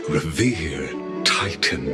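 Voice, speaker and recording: A deferential mechanical envoy in a video game, with a very deep voice. He speaks slowly and formally, with expressive swings of pitch and measured politeness. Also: full character voice. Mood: courteous, formal. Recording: loud music behind the voice.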